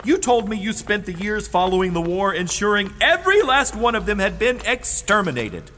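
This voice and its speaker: Prim, high-voiced, pompous scholar. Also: male voice, sing-song, moderate pace, sharp tone, slight background noise, exaggerated voice. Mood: skeptical. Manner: formal, pompous, precise wording. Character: prim, scholarly, indignant male historian. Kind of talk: audio drama acting. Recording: reverberant hall with music behind.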